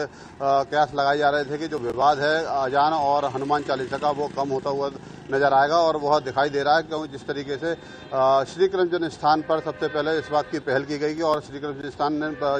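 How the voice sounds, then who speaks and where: Halting male reporter, slightly high voice. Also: a little hiss or hum. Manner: halting, hesitant, long-winded. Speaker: field news reporter. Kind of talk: unscripted live report.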